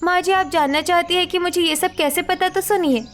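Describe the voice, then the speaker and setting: A woman's voice: extremely high pitch, fairly even intonation, a little fast, a bit bright, faint music behind. A woman voicing an earnest, educated young village bride in a cartoon story. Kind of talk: cartoon moral story acting.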